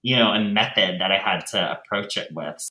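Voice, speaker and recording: A man gives casual, thoughtful interview answers as a director. His voice is on the low side and bright, and he feels his way haltingly through sentences. The sound is roomy and echoey.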